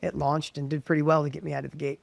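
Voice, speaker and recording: A middle-aged man from the United States telling his story as an interview guest, with a high voice, animated and full of quick restarts. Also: gravelly, no room sound. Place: professional studio.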